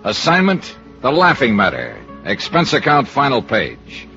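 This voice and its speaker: A man's voice: mid-range voice, huge pitch swings, measured pace, creaky, sharp tone, heavily acted. A man acting a tough, cynical American insurance investigator in 1950s radio style, clipped and sardonic. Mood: dry narrating. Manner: clipped, sardonic, hard-boiled. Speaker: lead actor and narrator. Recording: muffled old radio recording with music.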